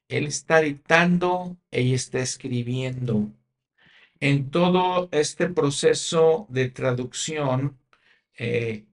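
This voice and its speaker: A Spanish-speaking man hosting a religious podcast, explaining history in a teaching manner, with frequent fillers and restarts. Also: mid-range voice. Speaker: podcast host.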